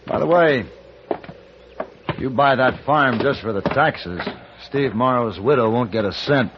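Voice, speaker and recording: An actor playing a cool, shrewd western gunfighter in measured, terse old-time radio style. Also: male voice, medium pitch, noisy background, close to an everyday voice. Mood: dry, probing. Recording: muffled, worn old radio recording.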